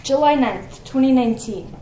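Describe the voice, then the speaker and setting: A woman's voice: high-pitched, warm tone, natural voice. Woman acting a tender mother, echoey stage. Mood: proud, tender. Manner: tender, earnest, measured recitation. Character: loving, forgetful middle-aged mother reading her diary. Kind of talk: dramatic monologue in a one-act play. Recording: echoey stage, background noise.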